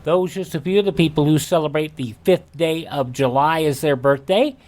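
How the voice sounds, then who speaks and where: A man's voice: a little high, moderate pace, darkish tone. A man with an American accent hosting a radio show and presenting items in a scripted but informal way. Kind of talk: presenting a this-day-in-history segment.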